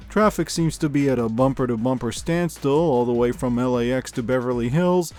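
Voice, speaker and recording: A man playing an unflappable local radio news anchor, reading parody bulletins in a measured, deadpan announcer delivery. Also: a little high, lightly acted. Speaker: host. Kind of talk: scripted news broadcast parody.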